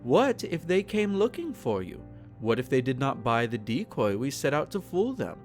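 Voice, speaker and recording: A man's voice: mid-range voice, big pitch swings, moderate pace, a little mellow, soft background music. A man playing a possessive, aristocratic male vampire in an intimate roleplay audio drama, speaking formally, soothing yet condescending and menacing. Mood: pensive.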